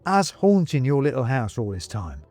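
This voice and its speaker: A man's voice: medium pitch, sing-song, moderate pace, darkish tone, faint music behind. A man voicing a fussy, chatty little male china ghost in a storybook, with genteel old-fashioned phrasing and needling politeness. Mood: genteel, indignant.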